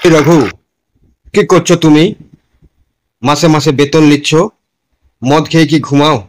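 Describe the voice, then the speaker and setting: Guy playing an angry cartoon landlord boss, scolding, barely changes pitch. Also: level tone, unhurried, lightly acted. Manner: scolding, demanding. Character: stern, angry village landlord, a male boss. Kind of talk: cartoon dialogue.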